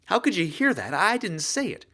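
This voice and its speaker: An indignant, rattled woman , acted by a male narrator, pitched well up and swinging widely. She is feisty and frightened at once, protesting with agitated emphasis, as a heroine in a mystery audiobook. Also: sing-song, normal speed, pushed and bright, heavily acted. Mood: disbelieving. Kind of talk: audiobook character acting.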